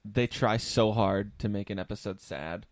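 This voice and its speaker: A man's voice: lowish voice, normal speed, no echo, studio quality. A man co-hosting a casual podcast, joking and slipping into mock voices. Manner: joking, slips into mocking voices. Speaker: podcast co-host. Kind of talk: casual chat.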